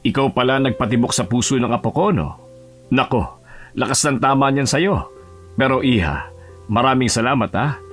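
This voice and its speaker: A man acting a warm, grateful elderly grandfather in a Tagalog audio drama, over music. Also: medium pitch, moderate pace, long pauses, husky, close to an everyday voice. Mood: grateful, teasing.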